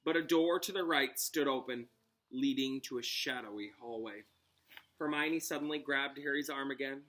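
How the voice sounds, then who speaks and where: A man's voice: medium pitch, moderate pace, a bit bright, rough recording. A man reading a storybook aloud as a teacher, with dramatic narration aimed at children. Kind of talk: expressive storybook read-aloud with asides.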